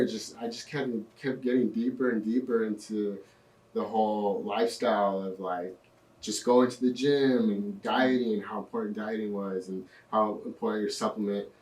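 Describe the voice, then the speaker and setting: Low-voiced young man in an echoey room. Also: moderate pace, distant mic. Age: 20s. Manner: relaxed storytelling, many fillers. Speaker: guest. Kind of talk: casual interview.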